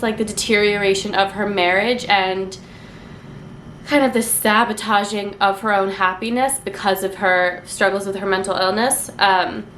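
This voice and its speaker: A woman's voice: mid-range voice, fairly bright tone, reverberant, slight background noise. Congested, chatty young woman vlogger. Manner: chatty, gushing, many ums and likes. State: congested, nasal from a cold. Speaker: book vlogger. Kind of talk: casual book review chat.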